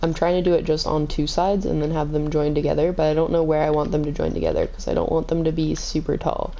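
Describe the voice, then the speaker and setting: A woman streaming a game, chatting casually with viewers, her voice a little hoarse and scratchy, kept calm and low. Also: fast. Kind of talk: casual gaming stream chat.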